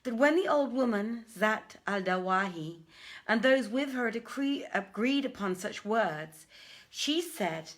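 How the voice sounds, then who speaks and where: A woman hosting an informal story reading, speaking deliberately with a theatrical touch as a storyteller. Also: fairly high pitch, expressive. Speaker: host and reader, theatre director. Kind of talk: informal livestream welcome, then dramatic storybook reading.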